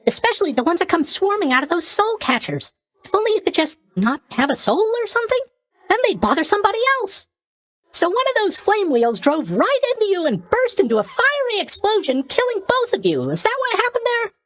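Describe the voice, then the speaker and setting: A man's voice: very high voice, huge pitch swings, brisk, fairly bright tone, no room sound, exaggerated voice. A man playing a cheery, oblivious young god of sleep in a video game, chatty and blithely tactless in his mock sympathy. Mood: blithely sympathetic. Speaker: video game character voice actor. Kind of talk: video game character voice lines.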